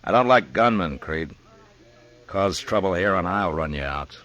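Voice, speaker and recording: An actor playing a hard, principled frontier marshal in an old radio western, terse and deadpan. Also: male voice, deep voice, measured pace. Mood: stern. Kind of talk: old-time western radio drama acting.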